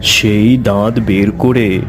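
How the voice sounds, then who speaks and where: A middle-aged man playing an avuncular tantric storyteller, narrating his eerie past in a measured, suspenseful way. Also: on the low side, fairly even intonation, over music. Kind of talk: dramatic horror story narration.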